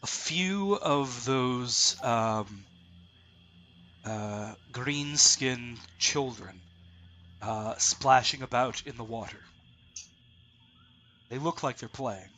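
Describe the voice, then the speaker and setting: A man narrating as dungeon master of a tabletop game, halting with many fillers, congested with a lingering cough. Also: medium pitch, expressive, sharp tone, a little hiss or hum. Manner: halting, many ums and uhs.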